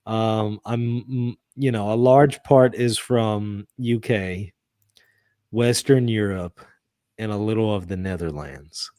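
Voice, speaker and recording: A man from the southern US chatting casually as the host of a discussion stream, quick to laugh. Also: fairly low pitch, mellow, dry recording, studio quality. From southern US, Mississippi and Louisiana area. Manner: laughs easily. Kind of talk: casual chat.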